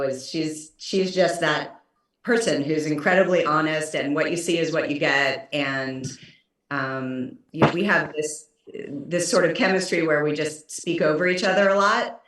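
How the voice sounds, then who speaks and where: A woman's voice: sits low, steady tone, measured pace, fairly bright tone, heavy reverb, recorded from a distance, rough recording. A woman actor chatting on a video call, answering thoughtfully with many ums and you knows. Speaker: actor guest. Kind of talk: casual video call chat.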